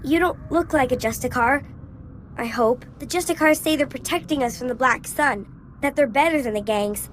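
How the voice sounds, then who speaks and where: A woman voicing a frightened, pleading child in a video game, timid and halting in her delivery. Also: high voice, slight background noise, lightly acted. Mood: timid, scared. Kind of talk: video game character acting.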